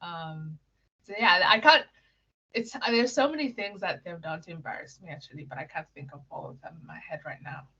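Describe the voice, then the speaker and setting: A woman's voice: lowish voice, animated, a little fast, vocal fry, pushed and bright, breathy edge, dull audio. A young woman from Kenya answering interview questions in a casual, giggly way, with lots of fillers and restarts. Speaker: interview guest.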